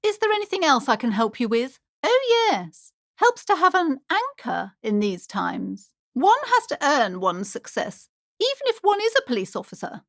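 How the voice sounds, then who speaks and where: A woman's voice: sits high, huge pitch swings, moderate pace, a bit bright, no echo, clean studio sound, full character voice. An actress playing an anxious, superstitious, preachy woman bookshop owner in a video game, speaking in a theatrical, fretful way. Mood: prim, preachy. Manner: theatrical, fretful, swinging between gushing and alarm. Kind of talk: dramatic video game character dialogue.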